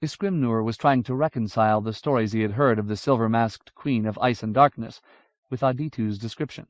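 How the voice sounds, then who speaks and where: A man narrating a fantasy audiobook in a measured, even way. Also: medium pitch, no room sound. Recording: dull lo-fi audiobook recording.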